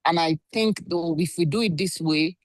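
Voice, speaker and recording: A woman joining an online workshop to make a polite, measured comment about her experience at work. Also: fairly low pitch. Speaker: workshop participant.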